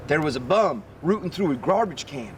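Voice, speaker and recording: A man reading a children's picture book aloud as an expressive narrator. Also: fairly high pitch, normal speed, slight background noise. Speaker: story reader.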